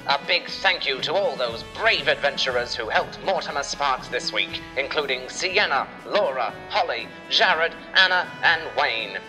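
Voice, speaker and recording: A man's voice: mid-range voice, lively intonation, measured pace, hardly any pauses, over music, low-quality recording. A man giving scripted, dramatic narration of a children's adventure story.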